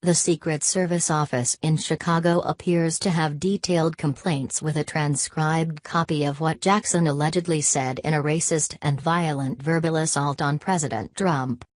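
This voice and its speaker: A woman's voice: low voice, fairly even intonation, normal speed, hardly any pauses, dry recording. A woman narrating a scripted news report, reading stiffly word for word.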